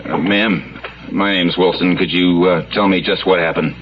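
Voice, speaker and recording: Actor as gruff, deep-voiced police detective. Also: male voice, fairly even intonation, normal speed, noisy background, natural voice. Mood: impatient. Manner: gruff, clipped, impatient. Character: hard-nosed male police detective, brusque baseball fanatic. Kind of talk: radio drama acting. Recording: muffled old radio broadcast.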